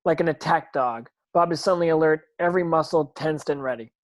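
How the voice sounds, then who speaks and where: A man's voice: fairly high pitch, brisk. Man narrating a script, stumbling a bit. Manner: stumbling over words, rereading. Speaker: narrator reading stage directions. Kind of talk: scripted narration, amateur table read.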